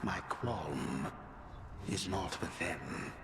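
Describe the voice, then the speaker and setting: Calmly menacing immortal tyrant for a game, imperious and formal, big echo, noisy background. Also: male voice, low-pitched, extremely slow, gravelly, heavily acted. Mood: calm menace. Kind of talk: dramatic video game acting. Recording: echoey hall with noise behind.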